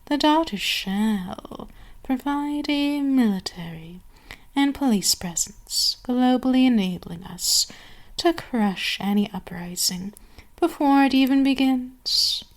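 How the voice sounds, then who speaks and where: A woman playing a cruel, seductive vampire queen in an ASMR roleplay, speaking haughtily and deliberately, with lingering pauses and breathy whispers. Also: high-pitched, lively intonation, full character voice. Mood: smug plotting.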